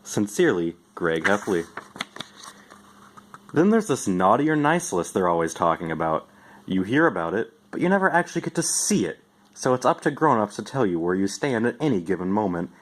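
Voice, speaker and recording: A man with a fairly low voice giving an expressive amateur storybook reading in the first person. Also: fairly quick. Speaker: amateur reader.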